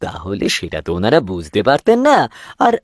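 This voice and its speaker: A man's voice: fairly low pitch, wildly swooping pitch, a little fast, dry recording, clean studio sound. A man playing a shopkeeper's profit-minded grown son in a cartoon tale, respectful but hesitant, persuasive and self-justifying. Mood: wheedling. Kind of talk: cartoon fairy-tale voice acting.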